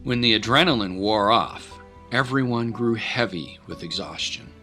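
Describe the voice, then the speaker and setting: Low, bright-voiced male dramatic narrator. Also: normal speed, faint music behind. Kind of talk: dramatic scripted storytelling narration.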